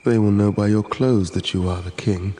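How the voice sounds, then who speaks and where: An actor playing a charismatic wine god and cult leader in an audio drama, with a measured, incantatory, poetic delivery. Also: male voice, on the low side, steady tone, warm tone, lightly acted. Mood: calm warning. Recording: music behind the voice.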